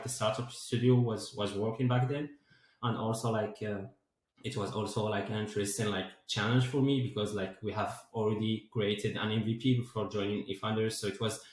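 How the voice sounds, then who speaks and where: A man's voice: fairly low pitch, fairly even intonation, moderate pace. Echoey-voiced man in his twenties. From Morocco. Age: 20s. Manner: many fillers like "like" and "uh". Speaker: guest, DevOps engineer and founder. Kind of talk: interview answers. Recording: very echoey room.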